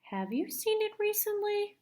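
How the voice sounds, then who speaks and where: A woman's voice: very high voice, very animated, a little slow, a little mellow, voice pushed up, heavily acted. A woman voicing a bossy, suspicious older sister in a children's storybook, pointed and accusing. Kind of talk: children's storybook read-aloud character voice.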